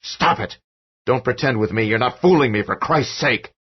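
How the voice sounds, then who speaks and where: A man's voice: deep voice, a little fast, breathy edge. A man playing a frantic frightened father. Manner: urgent, demanding and shouting. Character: frightened desperate father, fierce and shaken. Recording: dull lo-fi audio.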